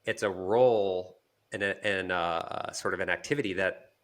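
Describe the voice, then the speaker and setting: A man co-hosting a podcast, explaining things conversationally and haltingly, with repeated words. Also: low-pitched. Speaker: podcast co-host. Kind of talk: casual conversational discussion.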